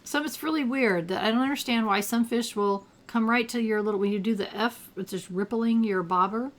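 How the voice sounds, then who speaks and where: An older retired woman with a gravelly, allergy-roughened voice, chatting casually while she plays a game and thinks aloud. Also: a little high, fairly quick. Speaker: gameplay commentator. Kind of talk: casual gameplay commentary.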